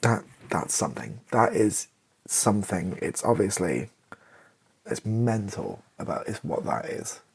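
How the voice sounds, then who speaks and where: British guy doing asmr, really low voice that moves around a lot, musing to himself wryly while typing. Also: big pitch swings, measured pace, creaky, slightly rough. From Britain. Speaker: ASMR video creator. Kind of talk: ASMR, thinking aloud while writing. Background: keyboard typing and clicks.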